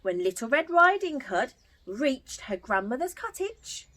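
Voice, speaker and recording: Children's storyteller, woman: higher-pitched, very lively intonation, unhurried and theatrical, speaking to little ones. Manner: addresses young children, theatrical. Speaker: children's party entertainer and storyteller.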